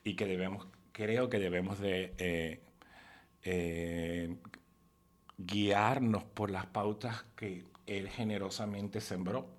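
A man's voice: low voice, fairly even intonation, slow pace. A middle-aged man speaking reflectively and unscripted as a guest, searching for his words. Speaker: panel guest.

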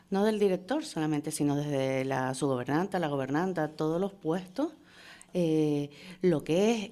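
A woman's voice: mid-range voice, dark tone. A woman from the Canary Islands, speaking as a guest in an earnest, agreeable way. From Santa Cruz de Tenerife. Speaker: guest, tourism official. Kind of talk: conversational interview.

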